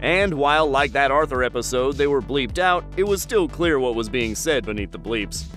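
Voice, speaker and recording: A man narrating a scripted countdown at a middling pitch, dropping wry asides between entries. Faint music sits under his voice. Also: expressive, normal speed, fairly bright tone.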